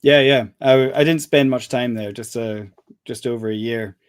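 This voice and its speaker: A man's voice: mid-range voice, steady tone, moderate pace, clear, resonant tone, no echo. A man in his forties who grew up in the Middle East, answering questions with easygoing dry humor. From Middle East, Scottish parents. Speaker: guest. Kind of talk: casual interview chat.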